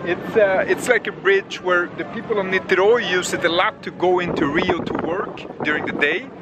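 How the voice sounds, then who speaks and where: A man's voice: high-pitched, moderate pace, very noisy. A man speaking as a travel vlogger and guide, giving casual, enthusiastic commentary to camera outdoors on a boat. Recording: loud music under outdoor boat recording. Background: loud music, boat sounds.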